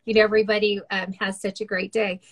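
A woman's voice: mid-range voice, flat delivery, fast talker, fairly bright tone. A middle-aged woman who casts and does voices, chatting casually in an interview, laughing often between fillers. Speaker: voice casting director and voice actor.

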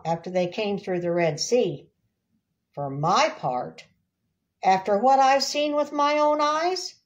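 An older woman giving a devotional reading with commentary, unhurried and emphatic, savoring and repeating words. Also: a little high. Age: older, about 70s. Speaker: devotional reader and Bible teacher. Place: small indoor room, seated.

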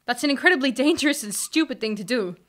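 Blunt, higher-pitched elf woman woman acting. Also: expressive, fast talker, fairly bright tone. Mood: disapproving. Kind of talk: audio drama acting.

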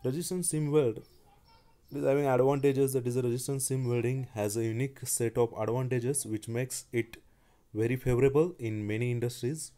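Halting man lecturing, mellow voice. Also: medium pitch, steady tone. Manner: halting, with frequent restarts and fillers. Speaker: engineering lecturer. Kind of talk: slide-based technical lecture.

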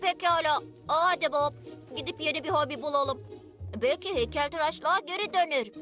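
A casual, chummy little cartoon insect. The voice is extremely high and piercing, with faint music underneath. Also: female voice, moderate pace, sharp tone. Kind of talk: animated cartoon dub.

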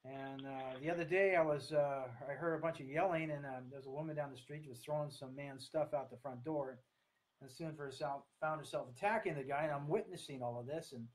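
A man in his sixties with an American accent, giving an earnest online talk to camera in a halting, deliberate way. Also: medium pitch, poor audio. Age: 60s. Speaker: speaker, coach. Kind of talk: online talk to camera, partly read from notes. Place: small room at home, indoors. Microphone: webcam or laptop at desk distance.

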